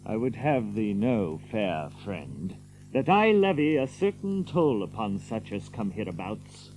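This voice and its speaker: An actor playing a bold, hot-tempered outlaw leader in an archaic audio drama, with a blustering, declamatory manner. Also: male voice, lowish voice, big pitch swings, a little slow, a little mellow, slight background noise, poor audio. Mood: commanding.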